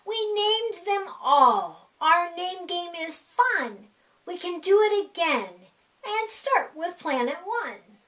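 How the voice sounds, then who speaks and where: High, lilting woman reading a children's story. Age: middle-aged. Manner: animated, sing-song, deliberate delivery for young children. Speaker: library storytime reader. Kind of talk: expressive read-aloud of a rhyming children's picture book. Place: small indoor room.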